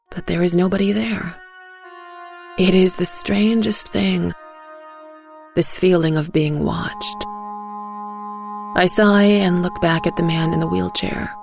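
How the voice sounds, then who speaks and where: Low-voiced woman narrating dark fiction. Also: vocal fry. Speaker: narrator of an audio fiction story. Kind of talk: scripted first-person dark fiction narration.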